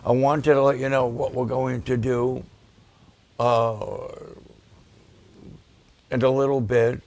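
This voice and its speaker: A man, a pastor, preaching and promoting his ministry's videos, with a voice that gets raspy at times, speaking with emphatic repetitions. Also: medium pitch, level tone, darkish tone. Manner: slow, deliberate, with emphatic repetitions.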